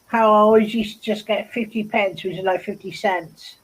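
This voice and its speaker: A woman's voice: medium pitch, steady tone, normal speed, breathy edge, rough recording. A woman chatting casually, telling personal anecdotes and laughing along, heard over a video call mic. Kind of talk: casual chat.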